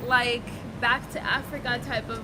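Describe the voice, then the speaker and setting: A woman's voice: a little high, little pitch movement, normal speed. A young woman from Zambia who grew up in Finland, chatting as a guest in an interview, with many fillers and light laughs. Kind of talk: casual interview chat. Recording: loud traffic noise outdoors.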